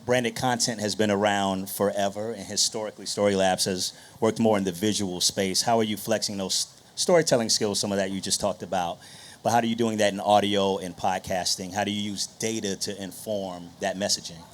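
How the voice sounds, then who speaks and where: Male moderator, slightly low register, conversational interviewer for a live discussion. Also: steady tone, fairly quick. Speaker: panel moderator.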